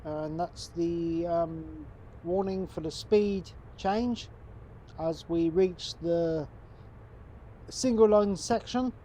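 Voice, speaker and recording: Mellow-voiced man, stuffed up with a cold. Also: on the high side, extremely slow, a little hiss or hum. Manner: relaxed, chatty, talks to viewers as folks. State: congested from a cold. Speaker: gaming channel host. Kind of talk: casual gameplay commentary.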